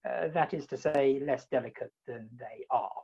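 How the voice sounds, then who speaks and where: An actor playing a flustered, evasive gentleman in a comic radio farce, pompously formal and stammering, recorded over a video call. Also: medium pitch, normal speed, vocal fry, breathy edge. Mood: pompous evasion. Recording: dull, lo-fi video-call sound.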